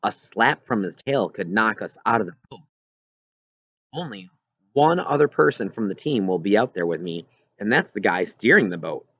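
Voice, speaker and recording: Kindly whale researcher for an audiobook male reader. Reassuring and explanatory, low register, animated phrasing. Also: normal speed, no echo. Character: kindly adult whale researcher writing an apologetic email. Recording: dull, lo-fi recording.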